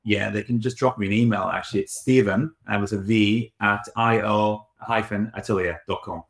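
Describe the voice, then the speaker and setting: A man's voice: on the low side, fairly even intonation, normal speed, reverberant. A man, a design studio director, answering interview questions in a reflective, explanatory way.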